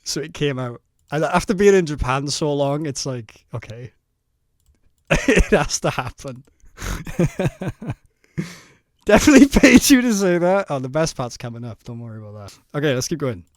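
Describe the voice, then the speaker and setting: A man's voice: a little high, animated, moderate pace, no room sound, clean studio sound. A man in his thirties from South Wales with a South Welsh accent, casually commenting on his own footage, nervous and self-deprecating, laughing often and swearing freely. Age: 30s.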